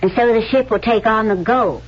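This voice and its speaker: Cool-headed, charming young schemer, husky and poised; muffled old radio drama recording. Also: female voice, on the high side, brisk. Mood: shrewd. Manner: poised, probing, coolly teasing. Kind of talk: 1970s radio drama acting. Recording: dull vintage radio recording.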